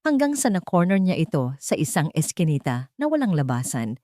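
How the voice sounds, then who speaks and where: A woman reads a dramatic story as an audiobook narrator. Her voice sits slightly low and is very mellow, recorded dry and close. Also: very animated, normal speed, dry recording.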